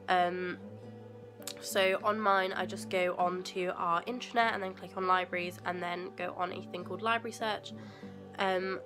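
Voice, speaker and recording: A young woman from England, a university student, chatting casually in a rambling way with a stuffy nose from a cold, at home. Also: mid-range voice. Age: young adult. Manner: chatty and rambling, many fillers and asides. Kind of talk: casual vlog chat. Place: at home indoors.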